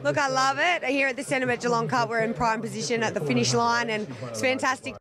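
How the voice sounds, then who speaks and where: A woman's voice: fairly high pitch, fairly even intonation, fast talker. An Australian woman chatting casually in an interview as a brand ambassador. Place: outdoors by a racetrack finish line. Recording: outdoor event with crowd noise.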